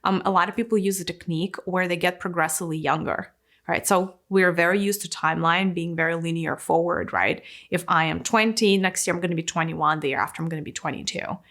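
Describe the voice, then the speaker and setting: A woman in her thirties giving a casual, animated talk to camera in a room, now and then dropping to a near-whisper for emphasis. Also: on the low side, fairly quick. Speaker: spiritual teacher. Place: indoor room with plants and a window. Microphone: fixed camera, medium framing.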